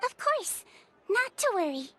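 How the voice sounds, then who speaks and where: A woman voicing a small, childlike head nurse in an anime-style game, gentle and composed, speaking in a measured, polite way. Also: very high voice, sing-song, a little hiss or hum, heavily acted. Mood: reassuring.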